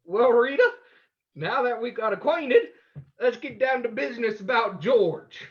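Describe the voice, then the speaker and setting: A man's voice: extremely high pitch, expressive, a little slow, highs cut off. A man playing a terse, tough undercover investigator in an old-time radio drama. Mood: guarded, terse. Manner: terse, hard-boiled. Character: tough undercover man posing as a crook's partner.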